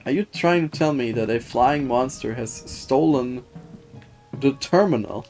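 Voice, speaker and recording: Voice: mid-range voice, measured pace, a little mellow. A streamer giving casual, dry and wry live commentary while playing a game and reading its dialogue aloud. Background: faint game music.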